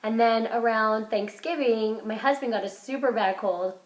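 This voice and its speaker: Hoarse, stuffy woman chatting in an echoey room. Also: fairly high pitch, normal speed. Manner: rambling, chatty, lots of fillers and restarts; upbeat, self-encouraging. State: hoarse and congested from a cold. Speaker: vlogger. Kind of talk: casual vlog chat. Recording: roomy echo.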